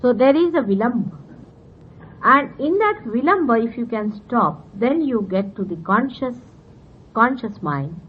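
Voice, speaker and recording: A woman from India whose first language is Marathi speaks with an Indian accent, explaining spiritual ideas earnestly to her listeners and pausing often with fillers. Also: on the high side, sing-song, husky. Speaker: spiritual teacher. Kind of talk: spiritual talk to followers. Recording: dull old 1970s tape with faint hiss.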